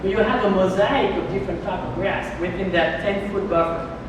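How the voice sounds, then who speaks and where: A man presenting at a public meeting, explaining in a halting way with many restarts and fillers. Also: high voice, steady tone. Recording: echoey hall from far off, noisy.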